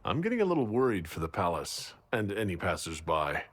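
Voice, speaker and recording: An actor playing a dry-witted, supportive leader with a teasing, understated manner in a video game. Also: male voice, low voice, very animated, a little fast, no room sound. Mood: concerned.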